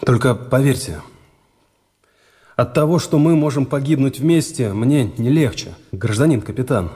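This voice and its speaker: An actor playing a condemned engineer in a radio drama, honest and humbly pleading, with a halting, deferential way of talking. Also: male voice, mid-range voice, dark tone, lightly acted. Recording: reverberant radio play, faint music.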